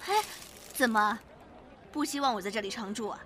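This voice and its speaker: A woman's voice: a little high, moderate pace, fairly bright tone, lightly acted. A woman acting a fussy, nagging older mother, chiding and motherly, in a Chinese audio drama.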